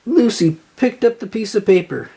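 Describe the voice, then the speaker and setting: An expressive storyteller reading a children's book aloud. The mid-pitched voice is slightly breathy and rises and falls in lively swings. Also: normal speed.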